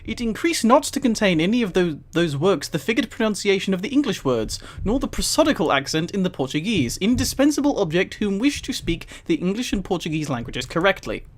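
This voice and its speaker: Casting: giggly live streamer, medium pitch, highly varied intonation, reads aloud emphatically with amused, casual asides. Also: big pitch swings, fairly quick, few pauses. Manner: giggly, often breaking into laughter while reading.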